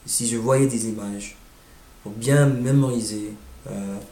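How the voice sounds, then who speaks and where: A man with an American accent, a native English speaker, slowly talking through his thoughts and searching for words with frequent fillers. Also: fairly low pitch, steady tone, warm tone, echoey room, slight background noise. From United States. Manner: hesitant, searching for words with many "euh" fillers. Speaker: language learner sharing his experience. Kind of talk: casual monologue.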